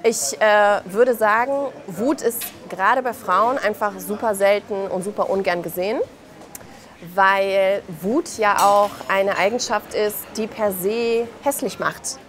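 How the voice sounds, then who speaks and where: A woman in her thirties, originally from Belarus, answers interview questions with passion. Her voice sits at an average female pitch. She talks emphatically and colloquially, often slipping into quoted remarks to make her point. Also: takes it slowly. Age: 30s. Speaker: interview guest, author and journalist. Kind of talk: impassioned spoken interview answers.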